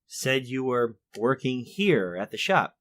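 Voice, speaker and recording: Guy acting earnest fussy fella in a retro radio drama, slow talker, voice jumps way up and down, kinda old-timey. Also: medium pitch, big pitch swings, fairly bright tone. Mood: concerned, probing. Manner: old-fashioned, halting, exclaiming. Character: fussy, earnest workshop colleague named Ernest, recounting events.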